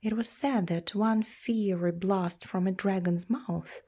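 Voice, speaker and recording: A woman reading a children's storybook aloud in a measured, expressive storytelling way. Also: mid-range voice. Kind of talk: read-aloud storybook narration.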